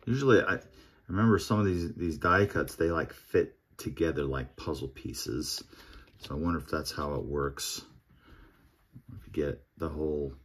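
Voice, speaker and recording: A man opening packs of baseball cards at a home table, reading off player names one by one in a relaxed, murmuring commentary. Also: extremely low pitch, moderate pace, husky. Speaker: card collector opening packs. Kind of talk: casual pack-opening commentary. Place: home table with card collection display.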